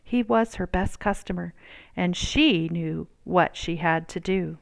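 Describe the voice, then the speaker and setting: A woman reading a children's storybook aloud in an expressive, deliberate storytelling manner. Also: on the low side, vocal fry. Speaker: storybook reader.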